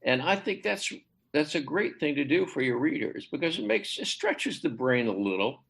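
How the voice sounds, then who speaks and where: A man speaking as a literary translator in a podcast interview, hesitant with many fillers and restarts, his pitch climbing sharply when he stresses a point. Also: big pitch swings, moderate pace, gravelly, fairly bright tone, husky. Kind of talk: conversational interview.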